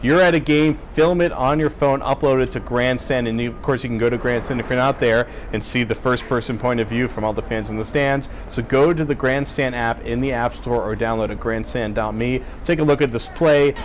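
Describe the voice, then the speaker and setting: A man's voice: mid-range voice, fairly even intonation, fairly quick, hardly any pauses, a little hiss or hum. An American man hosting a casual sports show, talking through and analysing a game.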